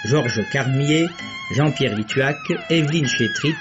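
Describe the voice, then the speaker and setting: Slow, deliberate male radio announcer over music. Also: fairly high pitch, huge pitch swings. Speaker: radio announcer reading credits. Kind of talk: formal old French radio presentation. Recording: dull vintage radio recording over music.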